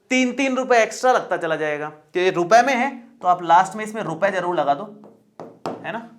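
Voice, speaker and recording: A man's voice: high voice, a bit bright. A male math teacher explaining a lesson step by step in a chatty, reassuring way, often repeating points and checking in with the viewer.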